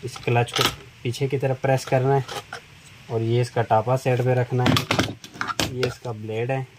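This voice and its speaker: Man, flat voice. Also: medium pitch. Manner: plain, unhurried explaining while working with his hands. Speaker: tool shop seller demonstrating a chainsaw. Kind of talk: step-by-step product demonstration. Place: indoor tool shop counter. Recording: noisy shop recording.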